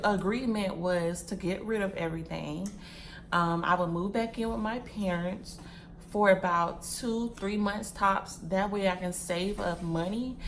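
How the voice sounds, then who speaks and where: A woman in her mid-twenties talks casually straight to her viewers, looping back over phrases as she goes. Her pitch sits in the middle range, and faint noise hums behind her at home. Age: 20s. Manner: chatty, repeats phrases, addresses viewers as y'all and sis; packing wigs while talking. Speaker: vlogger.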